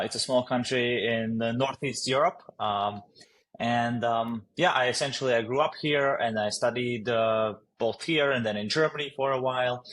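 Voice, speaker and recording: A man's voice: medium pitch, fairly bright tone, poor audio. A man from Latvia with a Latvian accent, a startup founder answering interview questions hesitantly with many fillers and easy laughs.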